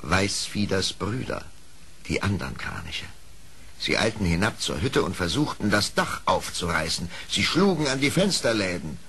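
A man narrating a scripted radio play story in an expressive storytelling manner. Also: sits low, moderate pace, husky.

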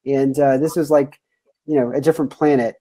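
A man's voice: mid-range voice, fairly even intonation, a little fast, dark tone. Upbeat man hosting on a video call. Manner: upbeat, loose, many "uh" fillers. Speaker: webinar host. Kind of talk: casual webinar hosting. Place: home room, indoors.

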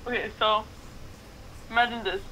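A woman's voice: on the high side, fairly even intonation, normal speed, sharp tone. A woman chatting casually as a friend reacting along on an online voice call. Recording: slightly noisy voice call.

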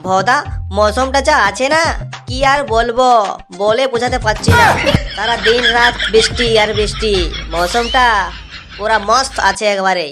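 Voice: mid-range voice, normal speed, over music, close to an everyday voice. A performer voicing a crude, easygoing male drinking buddy in a comedy cartoon, teasing and swearing casually. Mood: boozy, teasing.

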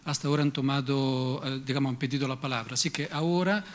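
A man chairing a conference question round, calling on speakers and listing names in a brisk, procedural way at a table microphone in a large hall. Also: mid-range voice, steady tone. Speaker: moderator.

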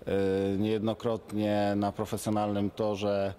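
Halting man with a low voice. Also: monotone, mellow, a little hiss or hum. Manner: halting, many hesitation sounds. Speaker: interviewee. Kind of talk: interview answer.

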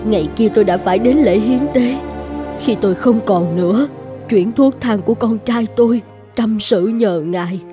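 A woman's voice: medium pitch, moderate pace, lightly acted. A woman voicing a poor mother in a cartoon who gives her life for her son, speaking in a pleading, tender way. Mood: sorrowful. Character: poor self-sacrificing mother, adult woman. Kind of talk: cartoon dubbing. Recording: music playing under the voice.

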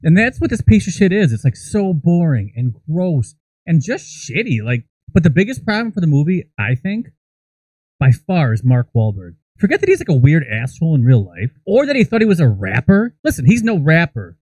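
Animated, mellow American man ranting. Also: a little high, moderate pace, slightly rough, no room sound, rough recording. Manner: crude, profane, opinionated. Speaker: podcast co-host. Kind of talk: comedic ranting movie commentary. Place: small indoor room set up as a podcast studio. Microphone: close podcast microphone.

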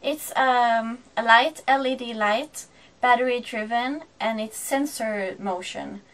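A woman in her thirties from Sweden with a Swedish accent, chatting casually in a vlog and explaining things slowly with many fillers. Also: on the high side, fairly bright tone, a little hiss or hum. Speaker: sailing vlogger. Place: shops, dock and wooden boat cabin.

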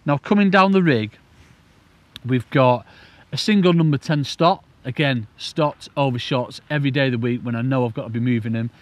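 A man's voice: medium pitch, no echo. British man, casual enthusiastic fishing presenter. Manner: enthusiastic, informal, rambling explanations. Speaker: angling presenter demonstrating a rig. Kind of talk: casual instructional chat to camera.

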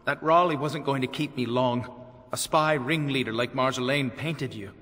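Actor as bitter young elf mage, echoing. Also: male voice, mid-range voice, normal speed, a bit bright, lightly acted. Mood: bitter, hurried. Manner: clipped, downcast. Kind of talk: video game cutscene acting. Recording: long reverberant hall echo.

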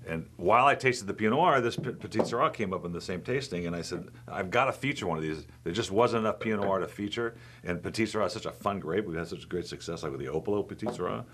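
A man's voice: on the low side, fast talker, fairly bright tone, slight background noise. A middle-aged man hosting a casual wine tasting in a wine shop, teasing his co-host and rambling enthusiastically about the bottles. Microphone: overhead boom mic.

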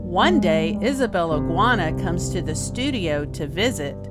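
A woman reading a children's story aloud as a storyteller, expressive and carefully enunciated, drawing out key words. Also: lowish voice, moderate pace. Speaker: storyteller, host. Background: music.

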